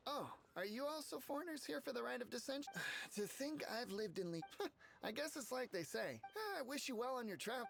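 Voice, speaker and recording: A woman's voice: fairly high pitch, very animated, normal speed, vocal fry. A woman playing a friendly, chatty local townswoman in a fantasy video game.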